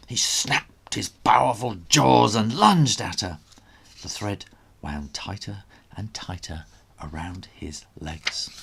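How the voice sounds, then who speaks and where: A man reads a children's adventure story aloud with dramatic expression. His voice is husky and swings widely in pitch as he takes his time over each phrase. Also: a bit bright. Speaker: children's author reading own story.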